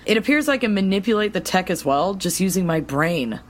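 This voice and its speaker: A woman playing an eager, excitable female superhero hacker and scientist in an audio drama, with an expressive delivery. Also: medium pitch, a little fast. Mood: intent, analytical.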